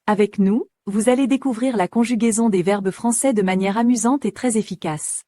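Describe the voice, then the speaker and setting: A woman's voice: medium pitch, normal speed, mellow, no echo. A woman narrating a beginner language lesson in a cheerful, inviting scripted way.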